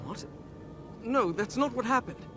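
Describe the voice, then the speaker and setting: A young, anguished prince in a game cutscene. His voice sits high and moves with lively swings as he protests urgently and defiantly. Faint music plays behind him. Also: unhurried, in a large hall. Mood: disbelieving. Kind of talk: video game cutscene acting.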